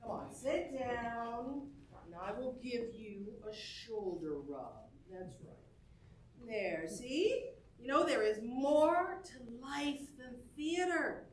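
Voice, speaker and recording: A woman's voice: a little high, animated, slow pace. A woman playing a spirited, strong-willed stage star and wife in a theatrical reading, effusive and coaxing. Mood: soothing, coaxing. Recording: echoey stage room, faint music.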